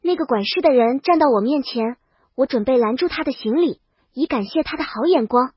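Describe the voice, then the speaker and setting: A woman's voice: high voice, expressive, brisk, breathy edge, dry recording, lo-fi sound. A woman reading a scripted first-person comic story as a princess, with dramatic, wry delivery. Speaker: narrator.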